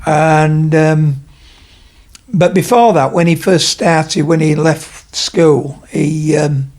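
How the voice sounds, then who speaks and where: A man's voice: fairly high pitch, fairly even intonation, slow, a little mellow. A man of about seventy from Leeds, telling rambling stories at home in a casual interview. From Leeds, England. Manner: rambling, digressive, many fillers. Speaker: podcast guest, retired tradesman. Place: his home.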